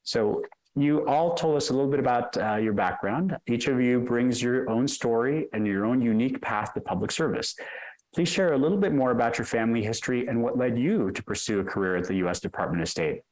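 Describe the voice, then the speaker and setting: A man's voice: mid-range voice, brisk, darkish tone, poor audio. A man moderating a panel. He speaks politely and reads out prepared questions over a video call. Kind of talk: formal moderating.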